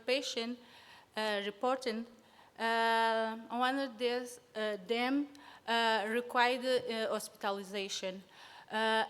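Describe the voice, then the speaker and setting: Nervous woman presenting in a reverberant hall. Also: a little high. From São Tomé and Príncipe. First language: Portuguese. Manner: hesitant, many fillers, nervous. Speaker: conference presenter. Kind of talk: academic talk. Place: conference hall.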